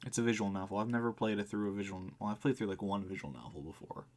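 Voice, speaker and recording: A man with a fairly low voice streams a game live. He talks in a casual, slangy way, reading on-screen text aloud and reacting to it as he goes. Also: lively intonation, racing, a little mellow. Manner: informal, chatty, reacting aloud with slangy exclamations.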